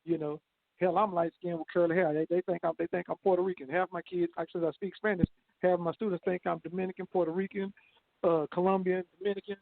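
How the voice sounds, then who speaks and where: A man's voice: high-pitched, level tone, fast, creaky, dry recording. A man in his forties co-hosting a casual radio chat, talking in an earnest, repetitive, sermon-like way. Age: 40s. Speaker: co-host. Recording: dry, phone-like lo-fi line.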